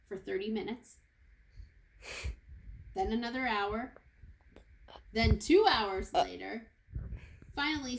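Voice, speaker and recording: A woman reading a children's storybook aloud like a kindergarten teacher, with expressive, deliberate phrasing for young listeners. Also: a little high. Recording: echoey room with faint noise.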